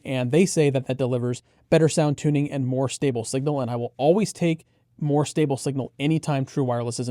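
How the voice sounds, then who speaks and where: A man's voice: medium pitch, warm tone, dry recording, clean studio sound. A man co-hosting a product preview, explaining features conversationally with easygoing, approving asides. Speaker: audio gear reviewer and co-host.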